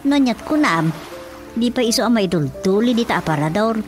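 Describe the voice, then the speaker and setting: A woman's voice: on the high side, animated, a little fast, lightly acted. An actress playing a practical, mildly fussing wife and mother with an Ilocano accent in a radio drama. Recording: prominent music under the dialogue.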